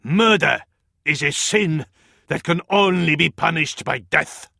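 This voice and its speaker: An actor with an Arabic accent playing a fervent, scornful medieval advisor to a sultan in a video game, in a florid, declamatory style. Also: male voice, a little high, measured pace, vocal fry, pushed and bright, no echo, studio quality, full character voice. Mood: scornful, grave. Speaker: battle advisor in a strategy game. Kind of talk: theatrical video game voice acting.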